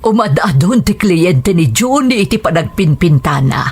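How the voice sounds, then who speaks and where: A woman acting a supportive, expressive Ilocano mother. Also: lowish voice, normal speed, a little hiss or hum. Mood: proud. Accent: Ilocano Filipino. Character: supportive, reassuring mother of a grown son. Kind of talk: radio drama acting.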